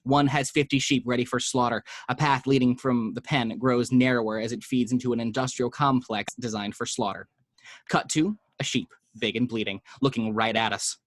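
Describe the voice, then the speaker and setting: A man's voice: mid-range voice, dry recording. Man reading screenplay action lines. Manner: vivid, measured description. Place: at home.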